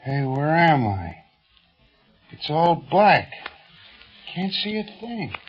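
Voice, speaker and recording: High, swooping, scrappy pilot sidekick. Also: male voice, big pitch swings, slow, soft background music. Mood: groggy, bewildered. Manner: blustering, slangy, exclamatory. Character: scrappy, hot-headed, folksy male pilot sidekick, defiant. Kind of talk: 1930s radio serial acting. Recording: muffled 1930s radio recording.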